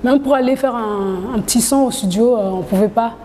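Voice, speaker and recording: A female singer chats casually in an interview, picking her phrases haltingly. Her voice is fairly high and warmly mellow. The recording has long reverb. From Congo-Brazzaville. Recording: reverberant hall, background noise.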